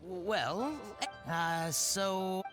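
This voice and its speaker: A man's voice: high voice, drawn-out words. A man voicing a nervous, deferential shopkeeper in a video game, hesitant, stammering and eager to please. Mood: flustered. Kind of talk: scripted video game character dialogue. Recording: game music behind the voice. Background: game music.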